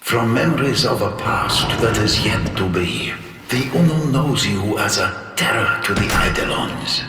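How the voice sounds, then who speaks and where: Cryptic ominous game oracle, lowish voice with lots of swing, huge echo, portentous. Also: male voice, lively intonation. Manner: portentous, measured. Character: cryptic male oracle speaking ominous prophecies. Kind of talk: video game voice acting. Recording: heavily echoing, distant, music behind.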